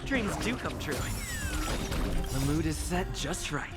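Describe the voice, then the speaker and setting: An actor voicing a playful, theatrical young hero calling out lines in video game battles. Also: male voice, a little high, very animated, slow. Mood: playful, confident. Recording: loud game music over the voice.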